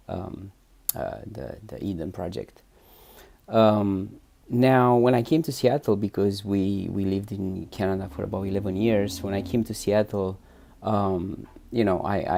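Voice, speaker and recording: A man's voice: sits low, gravelly, warm tone. A Romanian-born man with a Romanian accent giving an unscripted testimonial as a therapy client, hesitant, restarting often and tagging sentences with "right?". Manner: hesitant, many restarts and fillers, frequent "right?" tags.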